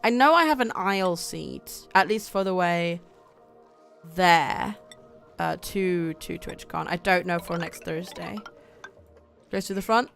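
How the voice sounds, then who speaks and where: A woman's voice: lowish voice, measured pace, creaky, long pauses, fairly bright tone, faint music behind. A young woman from Germany chatting casually with her viewers while playing a game on a livestream, reading out chat and laughing often. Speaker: streamer.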